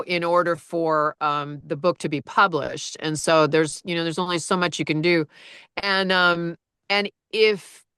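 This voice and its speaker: A woman audiobook narrator with a low-register voice, chatting casually and rambling with lots of fillers and restarts. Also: pushed and bright, smooth, dry recording, clean studio sound. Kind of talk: casual conversation.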